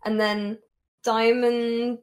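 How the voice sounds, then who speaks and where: An eighteen-year-old woman chatting casually as a guest on a stream, relaxed and self-deprecating. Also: fairly high pitch, drawn-out words. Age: young adult.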